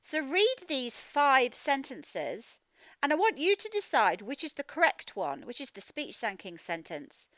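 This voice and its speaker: A woman teacher giving a children's read-aloud phonics lesson, speaking in an animated, emphatic, instructional way and sounding out words. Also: high voice, a little slow, no room sound, low-quality recording. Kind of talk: children's read-aloud lesson, explaining directly to young learners.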